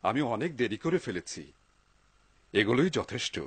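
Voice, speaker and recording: A man playing an excitable, pious, poor woodcutter in a dubbed cartoon, exclaiming and talking to himself in wonder. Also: mid-range voice, moderate pace, husky, no echo. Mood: relieved, planning. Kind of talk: Bengali-dubbed cartoon acting. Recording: noisy soundtrack with clicks.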